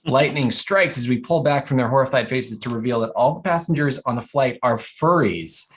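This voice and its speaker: A man reading scripted stage directions at an animated comedy table read, telling the action with amused storytelling flair. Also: medium pitch, moderate pace.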